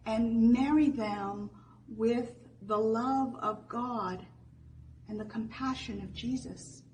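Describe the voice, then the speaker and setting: A woman preacher giving a sermon in a gentle, deliberate manner, phrase by phrase. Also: a little high. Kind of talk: sermon, conversational reflection and scripture reading. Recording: distant microphone, faint background noise.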